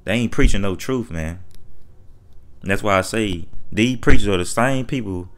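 American man, lowish voice, for an off-the-cuff rant; colloquial, emphatic, repeats himself. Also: moderate pace. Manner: colloquial, repetitive, emphatic rhetorical questions. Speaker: online commentator. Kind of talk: unscripted opinionated rant.

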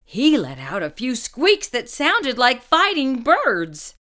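A woman reads a children's picture book aloud. Her voice is fairly high and bright, with lively rises and falls. She phrases carefully and expressively, as a storyteller for young listeners. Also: slow pace.

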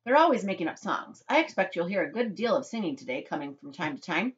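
Woman acting an excitable eccentric male factory owner, swooping intonation, emphatic, theatrical storybook voice. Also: lowish voice, fast talker, muffled recording. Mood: enthusiastic. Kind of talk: children's storybook character voice.

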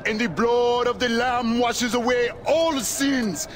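An actor playing a zealous, middle-aged preacher haunted by sin in a video game, with a grandiose, sermonizing delivery. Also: male voice, very high-pitched, takes it slowly, faint music behind. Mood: fervent. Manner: fervent, grandiose, quoting scripture like a sermon. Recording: game audio with clear outdoor ambience noise.

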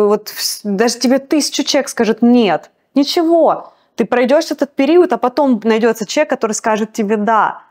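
Emphatic woman singer, motivational talk. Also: darkish tone. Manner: emphatic, motivational, pitch jumps up on key words. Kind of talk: interview guest.

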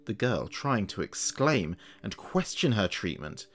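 A man narrating a horror story in a measured, scripted way with dramatic pauses. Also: on the low side, big pitch swings.

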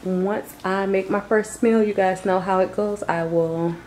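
A woman's voice: mid-range voice, fairly even intonation, unhurried. A woman vlogger talking casually and informally to her viewers, in a chatty way. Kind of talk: casual grocery haul vlog voice-over.

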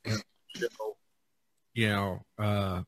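A man's voice: on the low side, little pitch movement, takes it slowly, fairly bright tone. A man hosting a casual unscripted podcast, talking in a rambling way and repeating his phrases. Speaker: podcast host.

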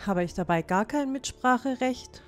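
A young dwarf girl played by a woman in a fantasy audio play. Her voice is on the high side, flustered and defiant, with music underneath. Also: animated, normal speed, close to an everyday voice. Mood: indignant protest. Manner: protesting, flustered. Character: young female dwarf, naive, defiant daughter. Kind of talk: fantasy audio drama acting.

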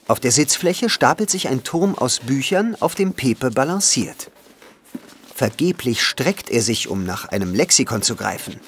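A man's voice: medium pitch, a little hiss or hum. A man narrating a scripted children's audio play. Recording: music under the voice. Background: music.

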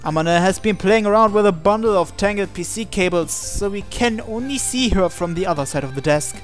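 A man's voice: high voice, a little fast. A man reading visual novel narration and dialogue aloud as a gaming video host. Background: game music.

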